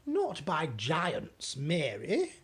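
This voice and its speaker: A refined queen from a storybook, high and plummy, drawing out her exclamations, as a woman reader puts her on. Her voice swoops widely and rings out loudly. She is gracious but quick to be shaken. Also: very animated, slightly rough, full character voice. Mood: dismayed.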